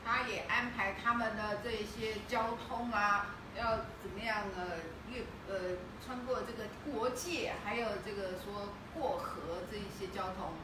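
A woman's voice: medium pitch, a little slow, hardly any pauses. A middle-aged woman interpreting a talk, relaying each phrase steadily with small filler sounds. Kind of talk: consecutive interpretation. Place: temple hall, indoors. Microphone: room camera at a distance. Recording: very echoey hall from far off, with noise.

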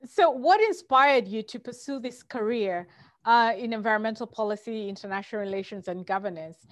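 A woman hosting an interview show over a video call, reading a formal introduction and asking questions with a few halting fillers. Her voice sits a little high. The sound is dry, with no room echo. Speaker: host and producer. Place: home room.

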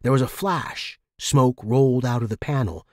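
A man's voice: medium pitch, normal speed, a little mellow, dry recording, studio quality. A man reading scripted first-person fiction as a story narrator.

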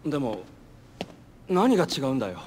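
A man's voice: medium pitch, sing-song, moderate pace, a little mellow, slight background noise, natural voice. A man voicing an earnest, questioning young hero in a video game, speaking casually. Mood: doubtful. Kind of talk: cinematic video game voice acting.

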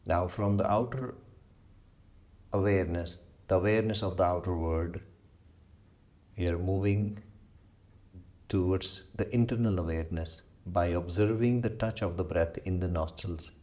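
A man leading a guided meditation as a yoga instructor, giving slow, measured instructions with long pauses. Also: low voice, darkish tone.

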